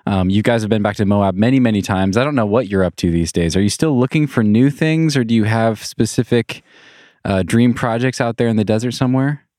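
A man hosting a podcast, relaxed and friendly, chatting casually with his guest. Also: on the low side, a little fast, dry recording, studio quality. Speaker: podcast host. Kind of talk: casual interview chat.

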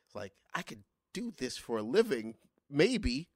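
A middle-aged man speaks in a relaxed interview, thinking aloud and stumbling over restarts before landing his point. His voice sits in an ordinary middle register. The sound is close and dry, with no room around it. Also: medium pitch, no room sound. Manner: halting, restarts often, animated when recalling singing. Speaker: guest singer. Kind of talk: casual interview conversation.

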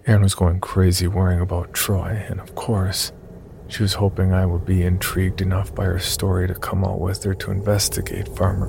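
A man with a low, creaky voice narrates a scripted horror story in the first person. His storytelling is measured, with clear night insect noise behind him.

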